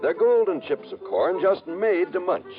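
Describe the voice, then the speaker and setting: A man's voice: high-pitched, very animated, a little slow. A male American announcer with a polished sales delivery in the style of an old radio commercial. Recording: muffled vintage radio, music bed.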